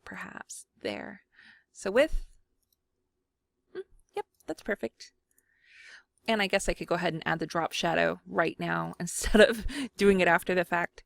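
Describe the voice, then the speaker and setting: Woman vlogger from Mississippi, slight fry in the voice, dry close mic, rambling casual chat. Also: fairly low pitch, a bit bright, no echo. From Mississippi, USA. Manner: rambling, self-deprecating, thinks aloud with fillers. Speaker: scrapbooking vlogger. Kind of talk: casual chat while working.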